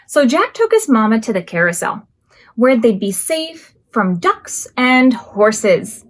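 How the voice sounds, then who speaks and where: A woman hosting a preschool storytime as a children's librarian, reading picture books aloud expressively with drawn-out words. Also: a little high. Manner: expressive, drawn-out words and acted sound effects.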